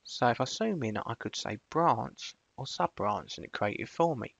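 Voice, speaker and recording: A man presenting a screencast software tutorial, switching between casual explanation and clipped spoken commands. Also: mid-range voice, lively intonation, moderate pace, vocal fry, husky, no room sound, muffled recording.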